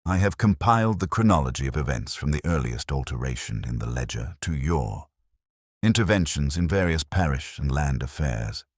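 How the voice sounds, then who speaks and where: A man voicing a calm, methodical police inspector in a mystery story, soft-spoken, formal and even. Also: a bass voice, normal speed, no room sound, studio quality. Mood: formal, firm. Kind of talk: storybook detective dialogue.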